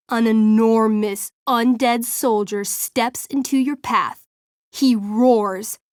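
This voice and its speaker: Young woman dungeon master from a video game, slow dramatic storytelling, playful, loves the gore, super dry studio sound. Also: on the high side, dry recording. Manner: theatrical storytelling, deliberate, savoring vivid details. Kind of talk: dramatic tabletop game narration, video game acting.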